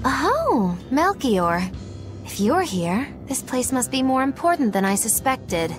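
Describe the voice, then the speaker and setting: A woman's voice: high voice, very animated, takes it slowly, music in the background, full character voice. A woman playing a cool, sardonic, scheming witch with a wry, theatrical delivery in a game cutscene. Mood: cool defiance. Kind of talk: dramatic game cutscene acting.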